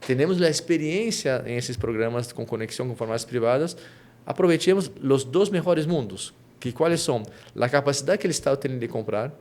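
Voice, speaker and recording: A middle-aged man from Brazil with a Lima Spanish accent and Portuguese roots, explaining his company's work as an interview guest, often tagging his points with a quick question and restarting phrases. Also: mid-range voice, lively intonation, a little mellow. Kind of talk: conversational interview.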